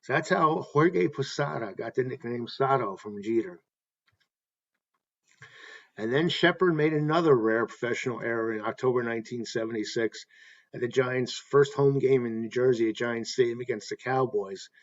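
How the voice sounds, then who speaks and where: A man hosting a tribute talk, reading anecdotes in a halting way and correcting himself as he goes. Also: mid-range voice, dull audio. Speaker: host paying tribute to a stadium announcer.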